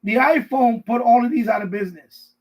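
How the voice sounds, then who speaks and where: High-pitched husky middle-aged man rambling casually. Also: fairly quick. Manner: rambling, repeats himself often. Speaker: live stream host. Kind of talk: casual live stream chat. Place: basement.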